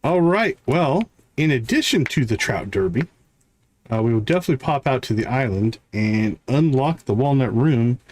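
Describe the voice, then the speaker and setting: A man's voice: mid-range voice, animated, moderate pace, darkish tone. A man giving casual live gameplay commentary as a streamer, muttering short, easygoing remarks to himself. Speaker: game streamer.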